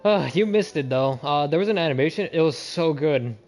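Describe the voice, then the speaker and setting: A man's voice: on the high side, lively intonation, fairly quick, a little mellow, faint music behind. A man livestreaming a game, chatting informally with viewers and often restarting or repeating his words. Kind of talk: casual livestream chat and game commentary.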